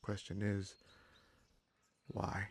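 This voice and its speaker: A man playing a kindly but gruff wounded bandit leader in an audio roleplay, speaking to the listener in halting, musing phrases. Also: fairly low pitch, completely flat delivery, a little hiss or hum, full character voice. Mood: thoughtful, suspicious.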